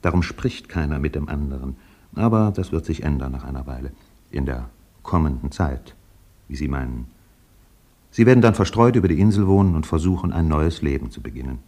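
A man playing a reflective, melancholy narrator in a literary radio play, with deliberate, solemn phrasing. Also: deep voice, darkish tone. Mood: sombre, measured.

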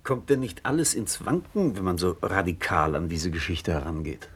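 An actor playing a concerned, thoughtful middle-aged father in a measured, deliberate radio-play style, with a husky voice. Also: sits low, big pitch swings, heavily acted. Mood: concerned questioning. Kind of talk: 1960s German radio play acting.

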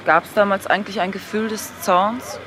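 An actress playing a curious interviewer on location in a radio play, with short probing questions and remarks. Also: female voice, medium pitch, fairly even intonation, normal speed, noisy background, natural voice.

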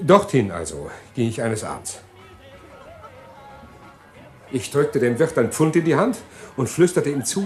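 Casting: male actor as anxious confessing convict, radio thriller, medium pitch, halting, stammering, formal diction. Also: natural voice. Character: anxious condemned man confessing his crime, nervous bookkeeper. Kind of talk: 1950s to 80s German radio crime drama acting.

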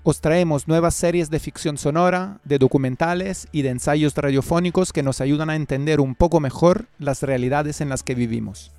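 A man's voice: on the high side, normal speed, hardly any pauses, a little mellow, no room sound. Male radio host over music, dry studio. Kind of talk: scripted radio presenting.

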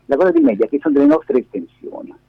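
A man's voice: very high voice, wildly swooping pitch, very fast, gravelly. A man in middle age talking casually as a radio interview guest, explaining things emphatically and wandering into digressions over the phone. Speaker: interview guest, media activist and lab director. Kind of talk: casual spontaneous radio conversation. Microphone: phone held against face. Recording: muffled, echoey phone call on radio.

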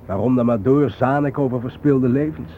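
An actor playing a solemn, reflective journalist in a radio play, speaking in grave, deliberate, philosophical phrases. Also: male voice, medium pitch, lightly acted. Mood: bitter. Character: solemn, reflective male journalist haunted by war dead. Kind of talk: radio drama acting. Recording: thin phone-like old radio recording, faint noise.

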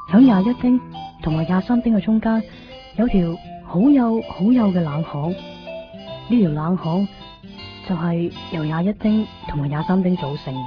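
A woman's voice: fairly low pitch, lightly acted. An actress with a Hong Kong accent playing a wistful, devoted young woman searching for someone in a radio drama. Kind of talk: radio drama first-person narration and dialogue. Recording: dull 1990s radio recording, faint music.

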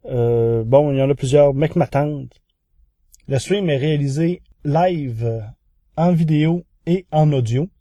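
A man's voice: fairly high pitch, a little slow, mellow, dry recording, lo-fi sound. A man with a Quebec French accent presenting a semi-prepared review column as a podcast columnist.

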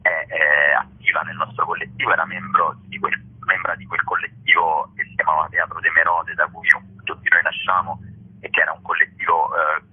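A man's voice: low voice, level tone, a little fast, husky. A man explaining a programme as an organiser in an informal interview, hesitating and drawing out words. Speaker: theatre festival organiser and presenter. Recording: muffled lo-fi phone-like radio line.

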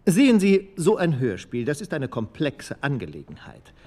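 A man's voice: fairly high pitch, wildly swooping pitch, normal speed, mellow. A man playing a courteous, formal radio presenter in a radio play, ceremonious and emphatic. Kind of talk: radio play announcer acting.

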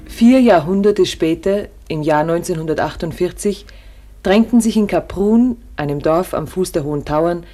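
A woman playing a calm, knowledgeable narrator, delivering scripted narration in a radio play. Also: lowish voice, normal speed, lightly acted. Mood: measured. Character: calm, knowledgeable female narrator explaining history.

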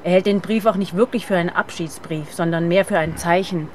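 An actress playing a composed, businesslike investigator in a radio drama, calm and to the point. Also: female voice, lowish voice, brisk, slight background noise, lightly acted.

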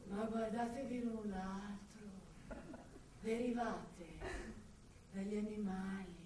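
A woman acts out a blunt male naturalist in a radio monologue, hushed and dripping with scorn. The voice drops well down and speaks insults slowly. It sounds distant, in a heavily echoing space. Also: mid-range voice, steady tone, a little hiss or hum, voice pushed down, natural voice. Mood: quiet scorn. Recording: very echoey, distant, music behind.

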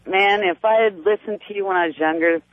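A woman in her thirties from West Virginia, calling in to a radio show. She has a deep voice and a good-natured manner. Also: a little fast, no room sound. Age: 30s. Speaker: caller. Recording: phone line.

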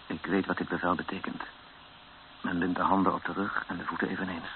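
An actor playing a grave captive who narrates his ordeal in a radio drama in a measured way. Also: low voice, flat delivery, close to an everyday voice. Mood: grim. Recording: muffled lo-fi radio recording with hiss.

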